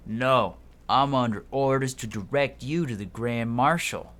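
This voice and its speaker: A man voicing a curt, stubborn military guard in a video game, loud and officious. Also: medium pitch, measured pace, pitched above the performer's own voice, natural voice.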